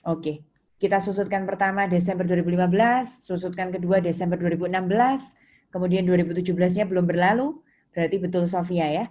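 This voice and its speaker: A woman's voice: lowish voice, a little mellow. A woman with an Indonesian accent giving an interactive lecture as a teacher, explaining points didactically and putting questions to students. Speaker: accounting lecturer. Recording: noisy, with keyboard clicks and typing.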